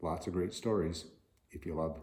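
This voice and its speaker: A man's voice: sits low, normal speed, darkish tone. A man telling stories from his working life in film, partly reading aloud from his own memoir. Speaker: film property master and author. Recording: roomy echo.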